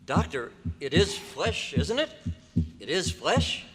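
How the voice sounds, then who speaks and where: A man's voice: fairly high pitch, huge pitch swings, takes it slowly, husky, heavily acted. A man playing an earnest, inquisitive newspaper reporter, polite but dramatic, in a recreation of an old-time radio drama. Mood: horrified. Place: hotel convention meeting room. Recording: echoey hall, live stage performance.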